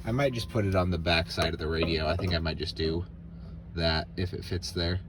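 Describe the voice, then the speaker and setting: A man's voice: sits low, steady tone, fairly quick. Casual guy vlogging over noisy outdoor wind. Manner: informal, conversational, thinking aloud. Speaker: off-road vehicle vlogger. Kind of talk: casual how-to walkthrough of a vehicle install. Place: in and around a parked truck outdoors. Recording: wind and outdoor vehicle noise. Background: wind, outdoor and vehicle noise.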